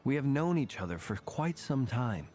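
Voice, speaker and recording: Game role: indulgent, well-mannered gentleman played by an actor, mid-pitched, formal and measured, music behind. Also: sing-song, lightly acted. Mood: obliging. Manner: formal, polite, measured phrasing. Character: courteous, refined gentleman, patient and indulgent. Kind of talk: animated game dialogue.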